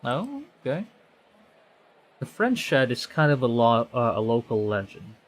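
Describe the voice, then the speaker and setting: A man's voice: mid-range voice, sing-song, unhurried. A man reading a visual novel aloud on stream, conversational, often stumbling and correcting himself. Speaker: VTuber streamer.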